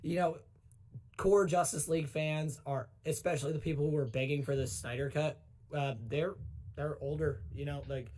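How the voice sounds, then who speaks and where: A man in his twenties with a somewhat high-pitched voice. He talks informally, like a fan on a panel chatting with friends about superhero movies. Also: fairly even intonation, normal speed, a little mellow. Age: 20s. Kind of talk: casual chat about comic book films.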